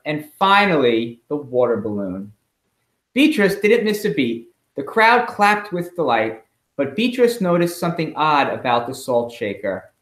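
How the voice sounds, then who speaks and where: A middle-aged man, a school principal, reading a storybook aloud expressively and pausing with chatty asides and questions for children. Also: fairly high pitch, a bit bright. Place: indoors at home. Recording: echoey room in a home.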